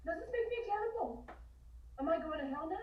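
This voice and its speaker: A woman's voice: very high voice, expressive, fast, reverberant, recorded from a distance, dull audio, a little hiss or hum. A woman playing a panicked, queasy, guilt-ridden young woman in a dramatic table read, fretful and hesitant. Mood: panicked, distressed.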